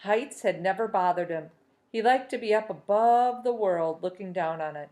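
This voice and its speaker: A woman reading a novel aloud chapter by chapter, with steady storytelling. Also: medium pitch, moderate pace.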